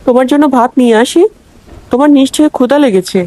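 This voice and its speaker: A woman's voice: high voice, fast, warm tone, lightly acted, low-quality recording. A woman playing a naive, simple young housewife in a cartoon, earnest and eager to please. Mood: caring. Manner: simple, earnest, literal-minded. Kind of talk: cartoon dubbing.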